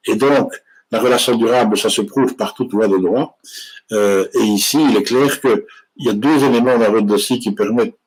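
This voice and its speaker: Lawyer guy explaining immigration law live, lowish husky voice, lots of ums and restarts. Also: low-quality recording. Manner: explanatory, frequent hesitations and restarts.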